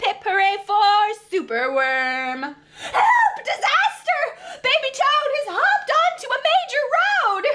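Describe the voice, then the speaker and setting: A woman with a high, bright voice reads a children's storybook like a preschool teacher. Her delivery is dramatic and singsong, with big swings in pitch. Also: a little hiss or hum. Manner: dramatic, expressive, singsong rhyme. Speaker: preschool teacher reading aloud. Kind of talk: animated children's storybook reading.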